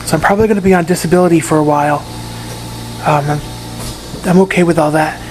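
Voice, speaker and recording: Hoarse, raspy man, halting delivery, casual update from his room, faint hum behind. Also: on the high side, fairly even intonation, a little mellow, rough recording. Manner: halting, often repeats and restarts words. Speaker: patient giving a recovery update. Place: private room in a rehab facility.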